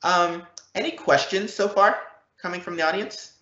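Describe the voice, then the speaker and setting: A man giving a live software demo in a conversational, explanatory way. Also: high-pitched, moderate pace. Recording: dull lo-fi, roomy web stream audio.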